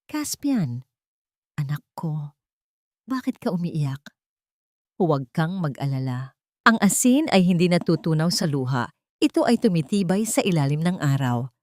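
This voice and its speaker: A frail, loving mother near death, voiced by a woman narrator, speaks softly and gently to console. It is storybook drama with a close, dry sound. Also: low-pitched, sing-song, measured pace, long pauses, no room sound. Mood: tender, consoling. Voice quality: soft, weak.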